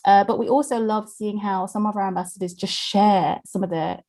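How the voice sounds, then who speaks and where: A woman's voice: mid-range voice, steady tone, brisk, warm tone. A woman hosting an online webinar in a chatty way, with many fillers. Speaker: webinar host from the company. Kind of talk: presenting a webinar.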